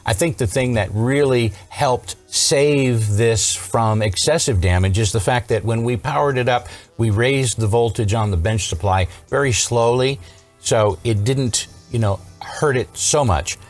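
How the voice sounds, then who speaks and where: A man's voice: mid-range voice, unhurried, faint music behind. A man giving a casual hands-on repair tutorial, explaining and thinking aloud as he goes. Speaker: vintage electronics repair host.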